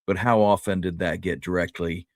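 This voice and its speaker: A man's voice: sits low, fairly even intonation, moderate pace, no room sound, studio quality. A man co-hosting a history discussion in an easygoing, conversational way. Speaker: podcast co-host.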